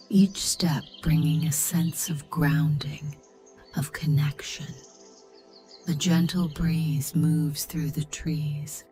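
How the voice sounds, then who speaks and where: A woman with a soft, breathy, half-whispered voice, narrating a guided meditation or bedtime story in a soothing, gentle, measured manner. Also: deep voice.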